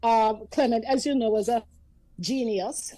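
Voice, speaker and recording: A woman's voice: fairly high pitch, fairly even intonation, a little slow, dark tone. A woman, a radio guest telling stories about growing up in a chatty, storytelling manner. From Antigua. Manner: chatty, storytelling, often laughing. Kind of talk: casual reminiscing conversation.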